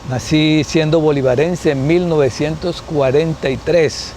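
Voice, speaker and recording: An older man in his seventies from Colombia, a guest in a recorded interview. His voice sits a little high for a man, and he speaks unhurriedly, pausing and restarting as he thinks. A faint hum of background noise runs under the recording. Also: steady tone, darkish tone. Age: 70s. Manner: unhurried, thinking aloud with restarts and tag questions. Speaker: guest sociologist. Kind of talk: reminiscing interview answers.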